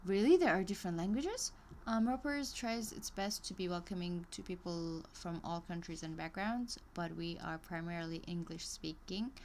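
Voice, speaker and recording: Woman, low-pitched, casual stream reading, chatty asides, halting with ums, faint background hiss. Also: a little mellow. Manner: reads course text aloud, breaking off into chatty asides and small laughs; hesitant, many ums and restarts. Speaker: live streamer working through an online course.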